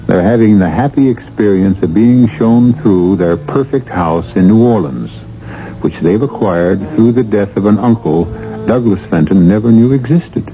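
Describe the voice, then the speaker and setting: A man playing the host of a radio mystery, narrating with deliberate, dramatic phrasing. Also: on the low side, close to an everyday voice. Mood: measured, dramatic. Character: measured male storyteller introducing an eerie tale. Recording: dull old radio recording, music underneath.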